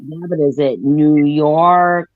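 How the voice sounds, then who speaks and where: A man's voice: on the high side, steady tone, slow pace, no echo, narrow, tinny audio. A man in casual chat who argues each point and spells out letters to prove it.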